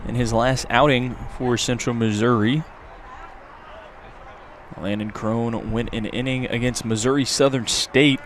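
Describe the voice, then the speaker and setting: A man's voice: medium pitch, fairly even intonation, measured pace. A man calling a baseball game live as a play-by-play announcer. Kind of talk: live sports commentary. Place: outdoors at a ballpark. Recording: clear outdoor ambient noise.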